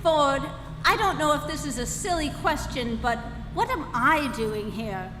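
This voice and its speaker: A woman plays a fretful, politely indignant Earthling lost in space, very high and animated in pitch. The live comedy stage play echoes around a hall with music behind. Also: measured pace, noisy background. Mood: bewildered.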